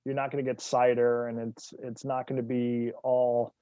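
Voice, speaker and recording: A man's voice: medium pitch, normal speed, clean tone, no room sound. A man from New England, a guest author, telling wry stories in casual conversation. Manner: relaxed, wry storyteller.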